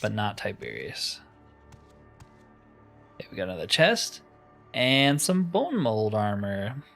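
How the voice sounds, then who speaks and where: A man's voice: on the low side, wildly swooping pitch, slow pace, gravelly, fairly bright tone, soft background music. A man giving casual let's-play commentary, musing aloud with wry asides as he plays. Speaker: gamer narrating his playthrough.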